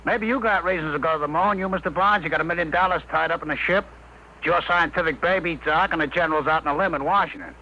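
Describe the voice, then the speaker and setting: An actor in a 1950s radio drama playing a brash, self-interested radio technician with a slangy, sardonic, stubborn way of talking. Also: male voice, fairly high pitch, fast talker, natural voice. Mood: defiant. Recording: muffled, noisy 1950 radio recording.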